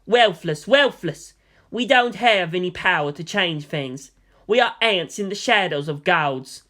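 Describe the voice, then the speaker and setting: A man's voice: high-pitched, lively intonation, a little slow, sharp tone, exaggerated voice. A man voicing a despairing army colonel, bitter and weary, with emphatic delivery.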